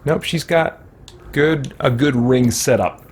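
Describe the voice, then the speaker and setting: Male gameplay host, mid-pitched, rambling and casual, faint background noise. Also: slow pace. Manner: rambling, thinking aloud. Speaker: let's play host.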